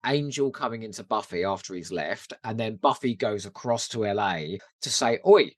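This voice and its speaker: A man's voice: lowish voice, expressive, normal speed, no echo, clean studio sound. A British man co-hosting a podcast, chatting casually about pop culture with giggly, gushing enthusiasm. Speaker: podcast co-host.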